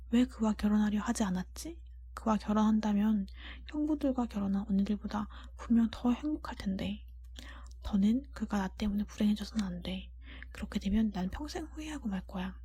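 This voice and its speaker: Breathy, whispering, tender young heroine acted by a woman. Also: mid-range voice, mellow. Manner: earnest, pleading. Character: tender, devoted young heroine Belle, pleading and sorrowful.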